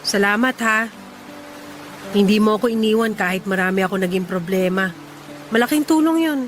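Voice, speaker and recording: A woman's voice: medium pitch, moderate pace, faint music behind, lightly acted. An actress playing a teasing, loyal single woman bound for work abroad, in a Tagalog radio drama. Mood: grateful, tender.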